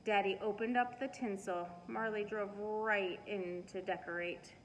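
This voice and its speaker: A middle-aged woman reading a children's storybook aloud with expressive, emphatic storytelling. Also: medium pitch, measured pace, soft background music. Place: living room, indoors. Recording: noisy living room recording.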